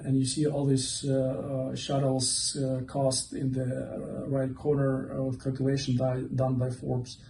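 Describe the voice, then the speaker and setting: A man's voice: mid-range voice, dark tone, a little hiss or hum. Hesitant man speaking in an echoey hall. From Ukraine. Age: 40s. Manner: hesitant, many fillers. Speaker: presenter. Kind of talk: conference talk. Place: event hall. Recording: echoey hall, mic from far off.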